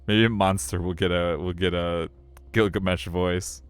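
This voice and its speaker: A man's voice: sits low, steady tone, moderate pace, clean tone, no echo. A man narrating a visual novel on a live stream, with chatty, joking commentary and game music behind him.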